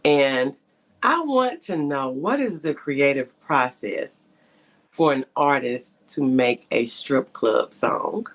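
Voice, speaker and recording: A woman's voice: extremely low pitch, expressive, takes it slowly. A woman hosting a casual interview, often rephrasing her questions as she goes. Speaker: host.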